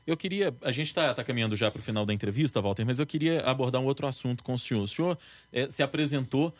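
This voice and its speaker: A man's voice: mid-range voice, fast talker, no room sound. A man interviewing a guest with polite, formal questions.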